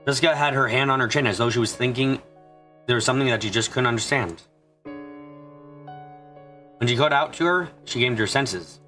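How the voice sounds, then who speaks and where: Fast-talking man narrating a visual novel. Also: medium pitch, bright, forceful tone, soft background music. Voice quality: slightly congested, mushy diction from a cold. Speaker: let's play streamer reading a visual novel. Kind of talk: dramatic narration of a story game.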